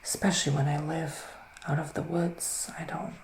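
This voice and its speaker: A man doing a whispered ASMR roleplay as a gentle, reassuring witch who gives psychic readings, soft-spoken and soothing with pauses. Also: a little high, level tone, echoey room, slight background noise. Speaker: ASMR performer playing a psychic reader.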